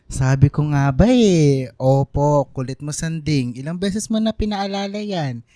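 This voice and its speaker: A man's voice: a little high, expressive, a little slow, warm tone, dry recording, clean studio sound. A man playing a doting, teasing middle-aged father in a Filipino radio drama, affectionate and full of exclamations. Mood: fond teasing.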